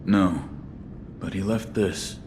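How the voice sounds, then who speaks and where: An actor playing a terse young shinobi who gives short, clipped replies. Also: male voice, low voice, slow pace, noisy background. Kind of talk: video game cutscene acting.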